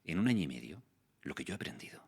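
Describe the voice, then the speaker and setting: A man in his forties, a guest in an interview, explaining things in a lively way and acting out the people in his stories. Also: extremely low pitch, rapid-fire, gravelly, husky. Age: 40s. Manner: explanatory, animated storytelling with voiced quotes. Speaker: guest, voice actor and communication coach. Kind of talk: casual interview talk.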